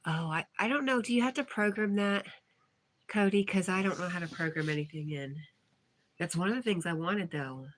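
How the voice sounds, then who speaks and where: A woman's voice: fairly low pitch, moderate pace. A woman hosting a casual livestream, reading viewer comments aloud and replying in a chatty way. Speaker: livestream host.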